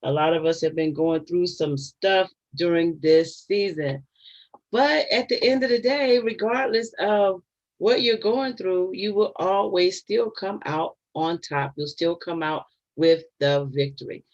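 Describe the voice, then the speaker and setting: A woman's voice: on the low side, unhurried, a little mellow, muffled recording. A woman in her fifties hosting a casual livestream from home, chatty and given to laughing asides to her viewers. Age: 50s. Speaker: channel host.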